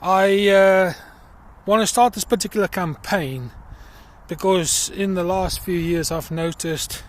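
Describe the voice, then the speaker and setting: A middle-aged man with a South African accent, talking earnestly about himself in a personal vlog while out walking in a field, with many pauses and fillers. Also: sits high. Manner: earnest and reflective, pausing with fillers. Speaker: crowdfunding campaigner. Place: outdoors in a field.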